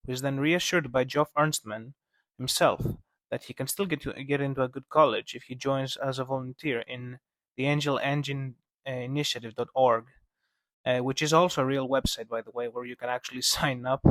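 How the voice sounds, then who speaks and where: A man's voice: medium pitch, fairly bright tone, no room sound. A man narrating scripted analysis as a video essayist, with casual asides.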